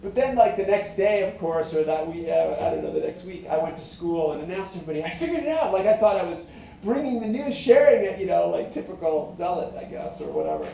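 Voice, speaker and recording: A middle-aged man with a high tenor voice and a mellow tone wanders through his thoughts, pausing and restarting often. His voice rings in a very echoey room and sits away from the mic. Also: sing-song, recorded from a distance, a little hiss or hum. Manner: meandering, reflective, many fillers and restarts. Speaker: singer-songwriter. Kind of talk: rambling casual monologue.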